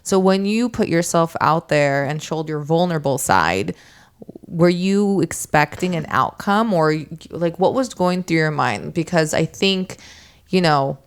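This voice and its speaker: Female podcast host, low voice with some vocal fry, soft and probing. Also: animated, a little slow. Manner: gentle, probing questions. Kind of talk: casual interview questions. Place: studio.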